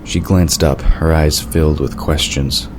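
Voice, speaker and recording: A man's voice: a bass voice, steady tone, a little slow. A man reading scripted story narration for an audio drama. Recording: clearly noisy background.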